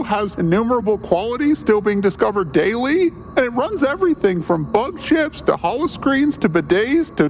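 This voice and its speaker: A man's voice: extremely high pitch, slight background noise, voice pushed up, full character voice. A man voicing an awkward, hesitant small-time battery seller in a spoof audio-fiction advertisement, rambling with understated boasts.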